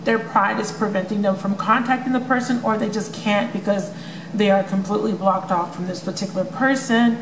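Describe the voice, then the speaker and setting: A woman's voice: medium pitch, steady tone, brisk. A woman giving a casual tarot love reading to her viewers, chatty and full of ums, with a voice that may crack from overuse. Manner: chatty, many ums, addresses viewers as you guys. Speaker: tarot reader. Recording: echoey room with noisy background.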